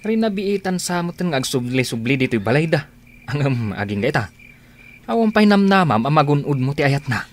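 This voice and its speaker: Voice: medium pitch, big pitch swings, brisk, long pauses, a little hiss or hum, voice pushed down, close to an everyday voice. A performer playing a blunt, grumbling male friend in an Ilocano radio drama.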